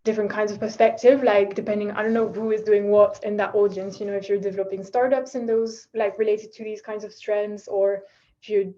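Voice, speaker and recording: Woman running a workshop, mellow voice, lots of likes and ums, muffled call sound. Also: mid-range voice, little pitch movement, a little fast. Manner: chatty, filler-heavy. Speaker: workshop facilitator. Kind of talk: casual chat on a video call. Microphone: video call.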